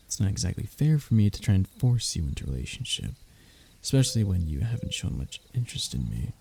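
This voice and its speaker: A man playing a lovesick young royal heir in an intimate romance roleplay, stammering and hesitant, with a husky, breathy voice. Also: sits low, sing-song, fast talker, dark tone, a little hiss or hum, full character voice. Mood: pleading. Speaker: voice actor in audio roleplay.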